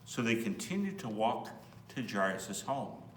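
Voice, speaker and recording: A man's voice: on the low side, big pitch swings, a little slow, fairly bright tone. A man reading a children's Bible story aloud as a priest, expressively, with simple asides to young listeners. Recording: roomy, distant mic, faint hum.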